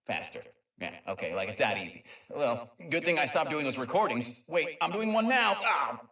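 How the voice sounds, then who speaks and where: A man's voice: medium pitch, very animated, moderate pace, pushed and bright, roomy sound, recorded from a distance. A man voicing an eager, nerdy, kind creature inventor who rambles and stammers through an informal video game audio log. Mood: uneasy, wry. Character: eager, nerdy, kind male Lombax inventor and dimension mapper.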